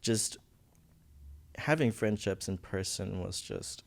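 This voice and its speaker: Young guy, visayan, talks slow and halting with a gravelly edge, searching for words. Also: lowish voice. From Philippines. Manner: soft-spoken, halting, searching for words, laughs nervously. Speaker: podcast guest. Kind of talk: personal story in casual interview.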